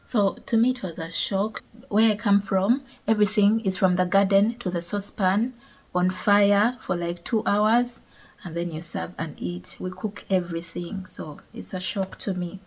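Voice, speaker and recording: A young woman from Uganda, chatting casually and telling animated stories as a vlogger, with a husky voice. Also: medium pitch, normal speed. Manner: animated storytelling with laughs. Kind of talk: casual chat.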